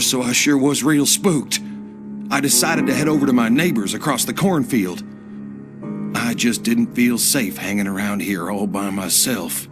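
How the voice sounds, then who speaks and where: A man's voice: mid-range voice, natural voice. An actor playing a plainspoken rural American farmer who survived a zombie outbreak, narrating his haunted story for a video game in a folksy way. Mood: grim recollection. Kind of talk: scripted first-person game narration. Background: music.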